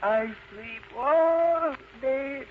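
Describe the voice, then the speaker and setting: A high-pitched little ghost boy, listless and drowsy, drags out every word with yawning slowness. It is a storybook character, heard through a dull, noisy recording. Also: heavily acted. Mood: drowsy, evasive. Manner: drowsy, drawn-out, yawning delivery.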